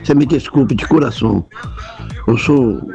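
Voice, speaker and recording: A man's voice: medium pitch, normal speed, creaky, dark tone, lo-fi sound, faint music behind. A man from Italy with an Italian accent calling a radio show, politely sending greetings and apologizing. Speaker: radio caller. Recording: voice message.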